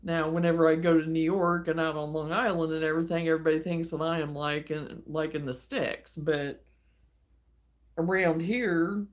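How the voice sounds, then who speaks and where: A woman from Illinois hosting a relaxed livestream, chatting with viewers in a folksy way and laughing often. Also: low voice, normal speed, highs cut off. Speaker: livestream host. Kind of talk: casual chat with viewers. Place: home.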